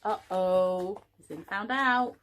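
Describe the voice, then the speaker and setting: A woman reading a picture book aloud to young children, expressively, pausing for little asides to the listeners. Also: mid-range voice, a bit bright. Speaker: early years teaching assistant reading a storybook.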